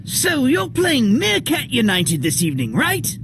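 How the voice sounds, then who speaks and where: A man voicing an excitable TV football presenter interviewing a coach in an animated series, over loud music. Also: very high voice, unhurried, creaky, heavily acted. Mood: upbeat. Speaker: sports interviewer. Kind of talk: animated series dub acting.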